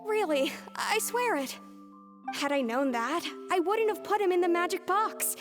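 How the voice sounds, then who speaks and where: A nervous young thief. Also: female voice, very high voice, expressive, a little slow. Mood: anxious. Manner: anxious, earnest confession. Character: young woman thief, nervous, pleading her innocence. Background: game score music.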